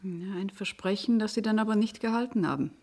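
A woman acts out an anxious character in a radio drama. She speaks sharply and urgently in a medium-pitched voice. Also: brisk, dark tone, natural voice. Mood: impatient. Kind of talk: German radio play acting.

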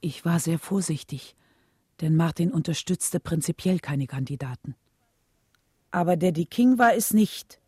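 A woman's voice: fairly low pitch, measured pace, mellow, husky, no echo, studio quality. An actress playing a composed, caring wife and mother in the scripted narration of a radio play. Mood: composed, serious.

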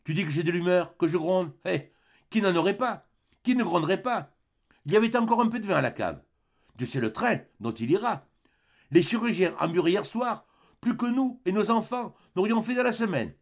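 A man's voice: on the high side, expressive, normal speed, gravelly, slightly rough, heavily acted. A man playing a poor, exasperated young peasant husband who rants querulously in an audiobook story. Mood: exasperated grumbling. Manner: querulous, ranting in rhetorical questions.

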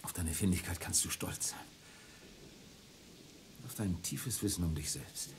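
An actor playing a reserved, ironic gentleman in a radio play. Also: low voice, animated, a little slow, slight background noise. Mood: wry, tender.

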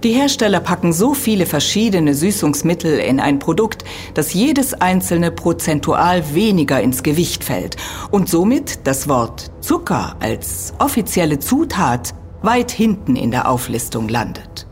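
An actress playing an earnest, critical university lecturer in an audio drama, explaining precisely and emphatically. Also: female voice, lowish voice, very animated, unhurried, darkish tone, faint music behind. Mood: explanatory, critical. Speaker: expert being interviewed.

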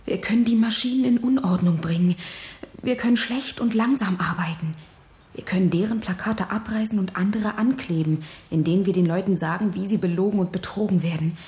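A woman's voice: medium pitch, a little fast, a little mellow, natural voice. An actress playing an earnest, defiant young factory woman in a radio drama, speaking with intensity. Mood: urgent, conspiratorial. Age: young adult. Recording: roomy, echoing interior.

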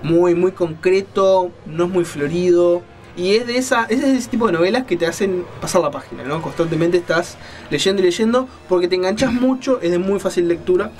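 Male radio host, higher-than-average pitch, explanatory, conversational, checking in often. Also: a little fast, darkish tone, faint music behind. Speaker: radio show host reviewing books and films. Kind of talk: conversational book and film commentary.

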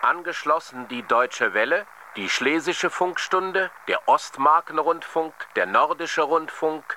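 An actor playing a formal 1920s German station announcer in a radio drama, proclaiming in a measured way. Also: male voice, fairly high pitch, animated, pushed and bright, slight background noise, full character voice. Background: music.

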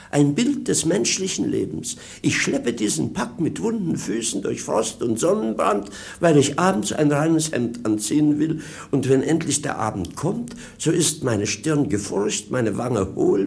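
An actor playing a droll servant who declaims in mock-pathetic, philosophical style in a vintage radio drama. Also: male voice, mid-range voice, creaky, darkish tone. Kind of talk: 1950s German radio drama acting.

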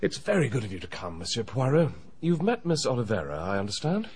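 An actor playing a genial, urbane, well-to-do uncle in a radio drama, dryly weary. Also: male voice, mid-range voice, huge pitch swings, fast talker, breathy edge, full character voice. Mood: courteous. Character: genial, dry, well-to-do English uncle, middle-aged or older.

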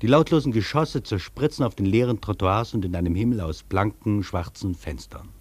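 A male actor playing a reflective traveller, delivering a literary radio monologue in a measured, poetic, declamatory way. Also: fairly low pitch, slightly rough, dry recording. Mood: hushed wonder. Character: reflective male traveller marvelling at a vast foreign city. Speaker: actor reading a traveller's first-person account in a radio feature.

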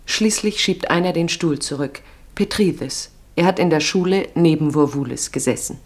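Low-voiced woman narrating a radio play. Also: normal speed, slightly rough. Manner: terse, present-tense storytelling.

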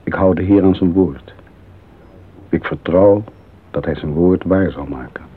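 Grave missionary, solemn radio monologue, low voice, thin band-limited recording. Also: male voice, a little slow, breathy edge. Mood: humble resolve. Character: humble, resolute male missionary writing a letter. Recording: narrow phone-like old radio sound.

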